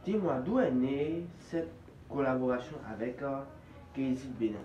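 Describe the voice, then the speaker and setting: A man's voice: medium pitch, expressive, a little slow, warm tone, distant mic. A man working as an interviewer, asking short prepared questions. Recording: echoey, muffled, off-mic, noisy.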